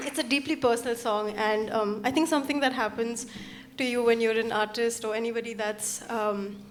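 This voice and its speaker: Soft-spoken young singer-songwriter from Sikkim. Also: female voice, on the high side, steady tone, normal speed, a little hiss or hum. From Sikkim, India. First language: Nepali. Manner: earnest, hesitant, many ums and restarts. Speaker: singer-songwriter performing live. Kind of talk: talking to the audience between songs. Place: stage at a live arts event.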